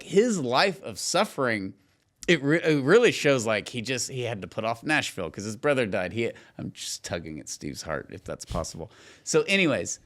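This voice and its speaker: A man's voice: mid-range voice, fairly bright tone. A middle-aged man co-hosting a podcast, telling stories and teasing in casual, emphatic, filler-heavy banter. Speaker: podcast co-host.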